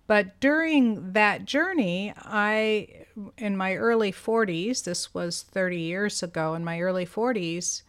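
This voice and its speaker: A woman in her seventies, a podcast co-host, telling her life story in casual conversation, animated and laughing often. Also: medium pitch, slow, clean tone. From United States. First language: Greek. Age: 70s.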